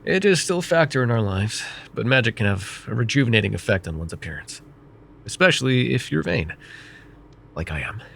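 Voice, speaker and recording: A man voicing a vain, wry, middle-aged male mage who counsels a student in an ASMR roleplay, speaking in a calm, measured and gently teasing way. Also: mid-range voice, gravelly, slight background noise. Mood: calm reassuring. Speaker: voice actor.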